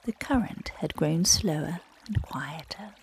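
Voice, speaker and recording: A woman guiding children through a relaxation and bedtime story in a gentle, soothing, breathy voice that often drops close to a whisper. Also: low-pitched, expressive, a little slow, slight background noise. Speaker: meditation guide and bedtime storyteller.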